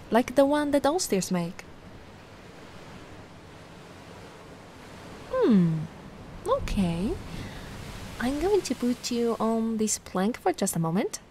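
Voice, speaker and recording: A woman's voice: fairly high pitch, sing-song, unhurried, dark tone, a little hiss or hum. A woman from Italy playing a giant, affectionate, bubbly young mermaid in a cutesy, cooing ASMR roleplay. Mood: concerned, gentle. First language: Italian. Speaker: roleplay performer.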